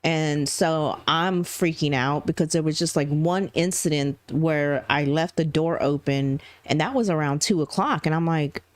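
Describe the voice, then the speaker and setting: Middle-aged woman on a podcast, animated and emotional storytelling, dry close mic. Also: low voice, a little slow, hardly any pauses, no room sound. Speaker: podcast co-host. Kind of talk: casual storytelling chat.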